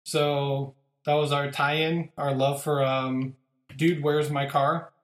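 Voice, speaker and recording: A man's voice: fairly high pitch, little pitch movement, slow, echoey room. A man hosting a podcast, chatting casually and upbeat with lots of fillers. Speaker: podcast host.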